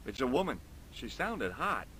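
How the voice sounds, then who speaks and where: A man's voice: mid-range voice, very animated, moderate pace, sharp tone, slight background noise, rough recording. An actor playing a wisecracking young American man adventurer who narrates in a dry, joking way. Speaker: game narrator and hero. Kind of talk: game voice acting and narration.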